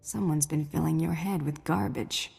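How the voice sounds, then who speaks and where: A woman playing a cool, tough alien bounty hunter in a video game, terse, deadpan and confident. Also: deep voice, normal speed, vocal fry, husky, in a large hall, soft background music, exaggerated voice.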